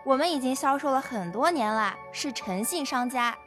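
A woman's voice: high voice, expressive, normal speed, fairly bright tone, faint music behind. A woman playing an ingratiating, persuasive health-product saleswoman in a radio play. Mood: flustered, defensive. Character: smooth-talking, pushy female health-product saleswoman pitching magnetic mattresses. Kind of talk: amateur legal-education radio play.

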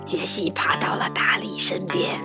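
A woman narrating a children's audio drama in an expressive, dramatic storytelling manner. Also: normal speed. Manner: dramatic, sometimes hushed storytelling. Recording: music behind the voice.

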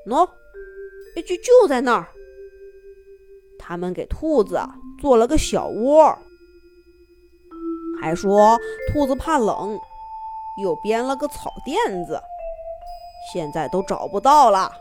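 Storybook great-grandpa, doting and hard of hearing, voiced by a woman; slow, loud delivery over faint mallet music. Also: on the high side, sing-song.

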